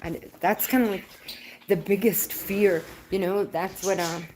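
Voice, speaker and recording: A woman's voice: medium pitch, steady tone, unhurried, darkish tone, a little hiss or hum. A woman in her fifties chatting casually on a livestream, rambling and laughing often. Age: 50s. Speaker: livestream host.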